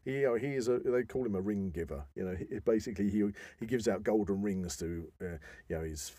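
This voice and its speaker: Low, husky, mellow man explaining things. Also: quick pace, no room sound. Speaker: podcast host. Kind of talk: informal explanatory discussion of an old legend.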